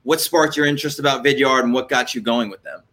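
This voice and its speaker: A man hosting an interview show, energetic and chatty. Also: medium pitch, fast talker. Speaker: host. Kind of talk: casual interview chat.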